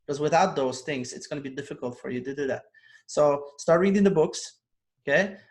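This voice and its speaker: A man's voice: fairly high pitch, fairly quick. A man, a guest telling his story earnestly on a video call and laughing often. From Poland. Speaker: guest, online business coach. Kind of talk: casual interview over video call.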